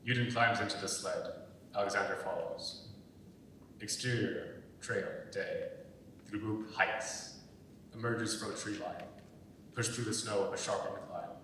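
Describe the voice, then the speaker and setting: A man's voice: unhurried, pauses often, bright, forceful tone. A young adult man reading stage directions as scripted narration for a dramatic reading. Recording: very echoey, distant, rumbling background noise.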